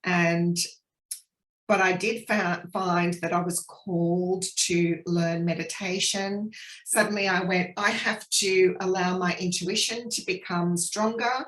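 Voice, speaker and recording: A woman from Sri Lanka, a spiritual healer, talking gently and earnestly in a casual interview over a video call. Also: fairly low pitch, steady tone, a little slow, roomy sound, low-quality recording. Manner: gentle, earnest, flowing, with soft restarts.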